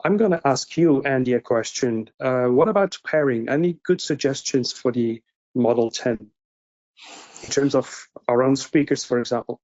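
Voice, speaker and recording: Male co-presenter moderating online questions, medium pitch, conversational, dull lo-fi call audio. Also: moderate pace, a little mellow. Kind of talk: casual online question-and-answer talk. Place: indoor room on a video call. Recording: muffled lo-fi video call audio.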